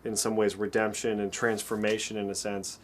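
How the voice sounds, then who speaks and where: A man's voice: on the low side, little pitch movement, moderate pace. A man interviewed about his creative work as a music maker, talking in a reflective, earnest way. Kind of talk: behind-the-scenes interview. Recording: faint background ambient noise.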